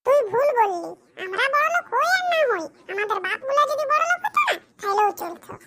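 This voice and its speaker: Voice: extremely high pitch, very animated, a little fast, bright, forceful tone, exaggerated voice. A performer voicing a cheeky, grumbling village boy with a rural West Bengal accent in a comedy cartoon. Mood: bantering. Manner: brash, grumbling colloquial banter. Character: cheeky, mischievous poor village boys with squeaky voices.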